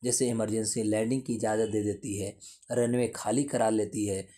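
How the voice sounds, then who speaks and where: A man's voice: on the low side, steady tone, moderate pace, warm tone. A man giving an explanatory lesson in a didactic way, repeating and rephrasing his points. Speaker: teacher explaining current affairs.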